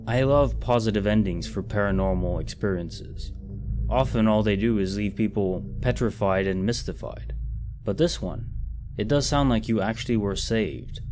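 A man with a slightly low voice delivers scripted storytelling narration. His manner is measured and reflective, like a host guiding listeners through a tale. Faint music plays behind him. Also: a little mellow. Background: background music.

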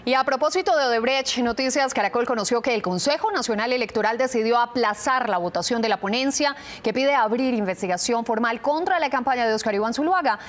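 A young adult woman with a Colombian accent presenting the news from a TV studio, measured and formal. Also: fairly high pitch, expressive. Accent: Colombian Spanish. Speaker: news anchor. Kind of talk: scripted news reading.

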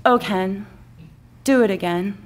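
A woman voicing a naive, eager female fashion doll in a comic story, breathy and tentative. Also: mid-range voice, takes it slowly, close to an everyday voice.

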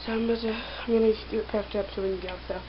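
A woman's voice: a little high, fairly even intonation, fast talker. A young woman chatting casually into a handheld camera at home, rambling with lots of fillers. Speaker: vlogger. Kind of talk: casual daily vlog chat. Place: small bedroom and bathroom at home. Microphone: handheld camera at arm's length. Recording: lo-fi camera audio with loud hiss.

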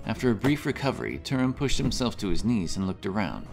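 Low-voiced man narrating fantasy over music. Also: big pitch swings, quick pace, creaky. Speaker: audiobook narrator. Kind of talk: scripted fantasy story narration.

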